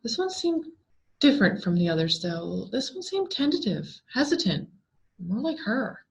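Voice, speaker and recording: A woman reading a scripted horror story aloud in a deliberate, dramatic way with drawn-out words. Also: medium pitch, sing-song, vocal fry. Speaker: story reader. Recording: dull, lo-fi sound.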